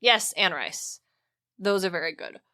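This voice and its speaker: A woman's voice: on the low side, measured pace, sharp tone. A woman co-hosting a podcast in casual, slangy, emphatic conversation. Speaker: podcast co-host.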